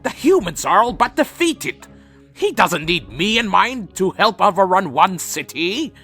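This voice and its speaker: A man's voice: sits high, very animated, unhurried, sharp tone, breathy edge, faint music behind, full character voice. A man playing a proud, troubled vampire leader who narrates his own story in a fantasy audio drama, earnest and dramatic. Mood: indignant. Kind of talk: first-person scripted narration and audio drama acting.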